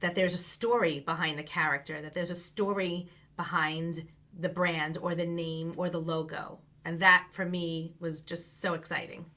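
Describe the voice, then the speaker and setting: A woman's voice: on the low side, steady tone, normal speed, pushed and bright. A middle-aged woman from Long Island with a New York accent, chatting as a guest and telling her career story with laughs. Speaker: guest, business coach. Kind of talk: casual interview chat.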